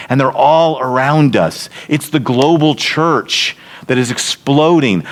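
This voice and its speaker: Man, storytelling sermon, emphatic build-ups, church hall talk. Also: mid-range voice. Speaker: seminary leader speaking at church event.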